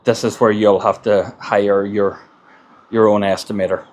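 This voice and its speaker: A man's voice: lowish voice, level tone, unhurried. An Irish man, a construction business owner, gives plain-spoken advice in a casual monologue and often repeats words as he gathers his thoughts. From Ireland.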